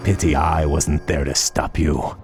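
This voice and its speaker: An actor playing a proud, vengeful elven prince in a game, deliberate and taunting. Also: male voice, rumbling low, fairly even intonation, music in the background. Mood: disdainful. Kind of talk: video game cutscene acting.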